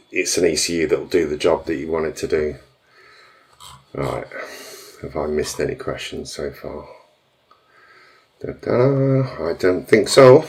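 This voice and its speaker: Male stream host, deep voice, casual and rambling, hesitant delivery. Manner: rambling, hesitant, many fillers and restarts. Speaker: tuning shop host answering viewer questions.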